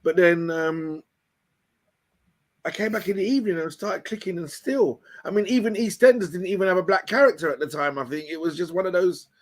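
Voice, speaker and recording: A British man with a hoarse voice, pitched a little above most men. He tells his story in a loose, rambling way, stopping for fillers and restarts. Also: brisk. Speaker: founder of the channel, guest. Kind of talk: casual chat on a video call show.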